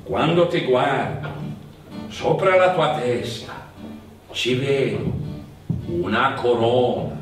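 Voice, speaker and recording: Man playing a low, mysterious sage, very echoey. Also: lively intonation, takes it slowly, long pauses. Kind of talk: theatrical stage storytelling for radio. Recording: very echoey stage, distant, music.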